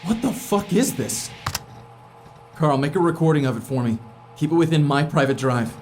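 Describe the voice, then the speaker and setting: An actor playing an irritable, defensive astronaut in a naturalistic sci-fi audio drama, curt and sarcastic. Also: male voice, fairly high pitch, lively intonation, moderate pace, darkish tone, faint music behind, close to an everyday voice. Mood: puzzled, urgent.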